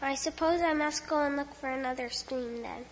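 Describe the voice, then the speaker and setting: A woman's voice: high-pitched, moderate pace, long reverb tail, slight background noise, pitched above the performer's own voice. A woman voicing a frightened, thirsty young schoolgirl who speaks timidly and hesitantly.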